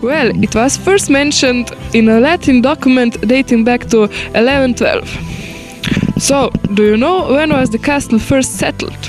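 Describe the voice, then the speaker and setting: Higher-voiced woman presenting over music. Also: moderate pace. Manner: reads carefully. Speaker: student presenter. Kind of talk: scripted presentation. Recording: music playing under the voice.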